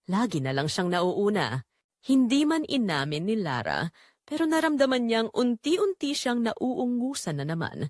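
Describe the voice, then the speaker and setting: A woman with a Filipino accent narrating a scripted romance story in an expressive, measured way with dramatic pauses. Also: medium pitch, dry recording, clean studio sound.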